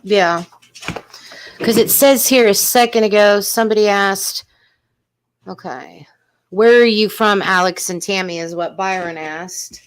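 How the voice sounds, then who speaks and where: A woman's voice: medium pitch, measured pace, smooth. Chatty woman host reading viewer questions. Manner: chatty and encouraging, says "y'all". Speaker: live-stream host. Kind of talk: casual live-stream chat, reading viewer questions.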